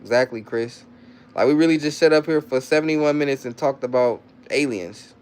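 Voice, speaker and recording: Young guy streaming and reading chat out loud, mid pitch, smooth voice, loose slangy rambling. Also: moderate pace. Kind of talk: casual live-stream chat.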